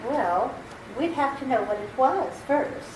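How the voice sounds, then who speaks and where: A woman playing a gentle, devout old aunt, polite and genteel, in old-time radio theater style. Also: sits high, expressive, unhurried. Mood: gently proper. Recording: roomy, distant, faintly noisy stage recording.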